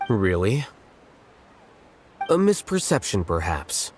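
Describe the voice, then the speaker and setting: A man playing an aloof, blunt young scholar in a video game, speaking in a measured, formal deadpan. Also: fairly low pitch, a little hiss or hum. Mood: curt, inquiring. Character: aloof, blunt young male scholar serving as reluctant acting sage. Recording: soft background music behind the voice.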